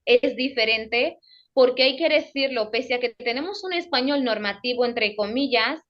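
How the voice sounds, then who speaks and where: A young woman with an Equatoguinean Spanish accent speaks in a measured, academic way, pausing to repeat and correct her words. Her voice sits fairly high. The sound is dull and lo-fi, as over a remote call. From Equatorial Guinea. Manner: careful, self-correcting, repeats phrases. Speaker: guest, radio presenter.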